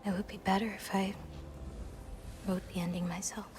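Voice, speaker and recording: A woman playing a gentle, self-assured young woman in a video game, speaking in a soothing, confiding and often hushed way. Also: mid-range voice, level tone, normal speed, background noise, lightly acted. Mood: warm, knowing. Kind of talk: video game cutscene acting. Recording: music behind the voice.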